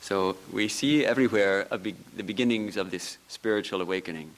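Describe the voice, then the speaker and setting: A man with a fairly low voice gives a temple lecture as a monk. He tells small stories with soft laughter and builds his points through earnest repetition. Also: fairly quick, poor audio. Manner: storytelling, with soft laughs and earnest repeated phrases. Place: temple hall.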